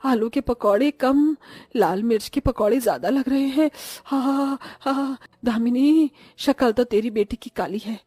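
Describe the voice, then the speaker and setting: A woman's voice: a little high, measured pace, dark tone, breathy edge, no room sound. A woman voicing a rude, complaining village auntie in a cartoon story, exclaiming and mocking. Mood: disgusted.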